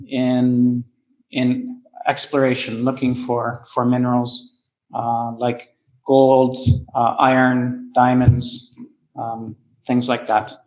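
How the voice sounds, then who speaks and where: A man's voice: mid-range voice, poor audio. A man from Canada giving a casual travel talk to an audience, hesitant, with many fillers and restarts. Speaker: guest speaker at travel club.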